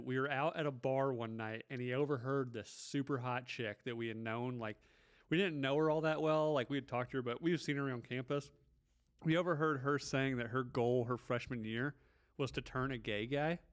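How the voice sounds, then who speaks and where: Teasing thirty-something podcast host. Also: male voice, medium pitch, fairly even intonation, moderate pace, clear, resonant tone. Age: 30s. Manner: ribbing, anecdotal, casual swearing. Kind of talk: casual podcast chat.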